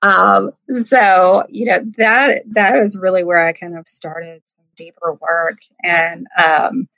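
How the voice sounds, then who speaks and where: A woman's voice: fairly low pitch, steady tone, slow, vocal fry, sharp tone, no room sound, highs cut off. A woman in her thirties, a life coach, telling her story in a reflective, hesitant way with many ums. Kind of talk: casual interview storytelling.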